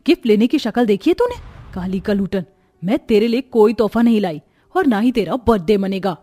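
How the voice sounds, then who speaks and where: A woman's voice: mid-range voice, animated, a little fast, mellow, lightly acted. A woman voicing a vain, spiteful mother in a dramatic, haughty way for a moral story cartoon. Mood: scornful, angry. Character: vain, spiteful mother, scornful to one daughter, doting on another. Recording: music behind the voice.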